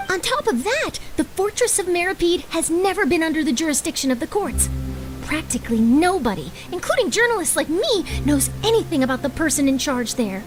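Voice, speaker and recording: Perky, very high-pitched young reporter. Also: female voice, lively intonation, a little fast. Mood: persuasive, gushing. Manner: bubbly, dramatic, gushing. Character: eager young female newspaper reporter, perky, nosy, theatrical. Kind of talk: animated video game voice acting. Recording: game music behind the voice.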